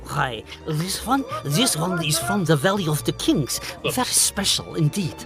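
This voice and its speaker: An actor playing a sly, flattering market trader in Egypt in an audio drama, with creaky, wheedling sales patter. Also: male voice, fairly high pitch, a little slow, music in the background, full character voice.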